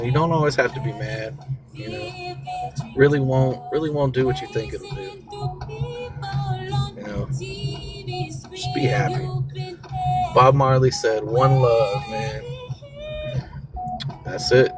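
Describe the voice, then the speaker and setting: A man's voice: medium pitch, huge pitch swings, slow pace, long pauses. American man, casual motivational talk over music. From southern United States (Mississippi and Florida). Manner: slangy, encouraging, repeats key phrases for emphasis. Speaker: talk show host. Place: in a vehicle during a commute.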